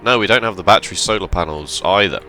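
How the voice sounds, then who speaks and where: A man giving relaxed, rambling commentary over his gameplay, sounding slightly hoarse and correcting himself as he goes. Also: sits low, quick pace, soft background music. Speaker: let's play host.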